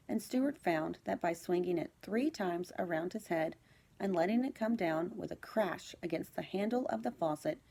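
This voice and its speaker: Female storybook reader, teacher type: lower-pitched, mellow tone with some gravelly creak, expressive delivery. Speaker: second-grade teacher reading aloud. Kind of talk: children's storybook narration.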